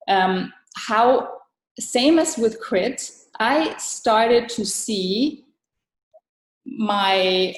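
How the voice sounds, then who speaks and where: A woman's voice: mid-range voice, darkish tone. Woman from Thailand, guest author, thoughtful answers, lots of ums and restarts, roomy echo. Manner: thoughtful, many fillers and restarts. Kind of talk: casual interview answers.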